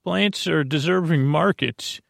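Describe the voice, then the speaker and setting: A man with a creaky, croaky voice hosting a bedtime podcast in a meandering, halting monologue where he often repeats himself. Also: on the high side, dry recording, clean studio sound. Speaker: podcast host.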